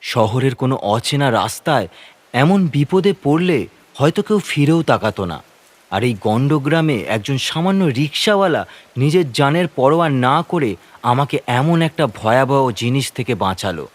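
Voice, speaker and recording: A man's voice: mid-range voice, expressive, normal speed. A man narrating a first-person horror story in a suspenseful, theatrical manner.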